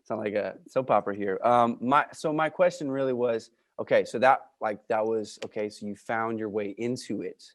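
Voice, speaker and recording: A man's voice: on the low side, moderate pace, hardly any pauses. A man hosting an interview in a casual, slangy way, with playful, theatrical reactions. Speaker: interview host, realtor.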